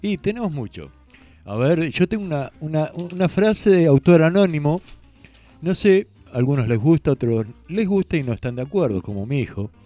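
Male co-host, Rioplatense Argentine, mellow tone with lively pitch movement, casual radio delivery. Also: moderate pace, soft background music. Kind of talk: casual radio banter and recitation.

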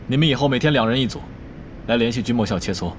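A man acting a serious young esports team captain in an audio drama, speaking in a measured, earnest, formal way. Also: medium pitch, animated, slight background noise, close to an everyday voice. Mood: calm, authoritative.

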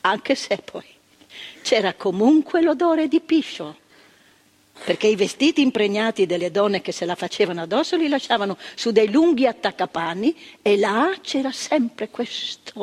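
A woman's voice: medium pitch, darkish tone, breathy edge. An actress playing a former woman asylum nurse, telling her memories in a plainspoken stage monologue that repeats phrases for emphasis. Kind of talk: theatrical monologue of remembered testimony. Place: theatre stage. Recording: long stage reverb, radio broadcast.

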